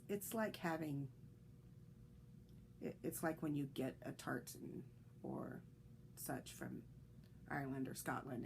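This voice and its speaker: A woman's voice: rumbling low, gravelly, darkish tone, a little hiss or hum, rough recording. A woman hosting a knitting podcast, chatting in a rambling way with fillers and restarts, a little congested. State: slightly congested, coughing. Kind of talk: casual solo podcast chat.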